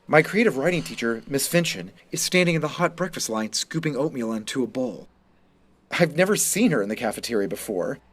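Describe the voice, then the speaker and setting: A man reading a first-person story as a wounded, resentful high school student, in scripted narration. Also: expressive, fairly quick. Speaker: reader of a short story.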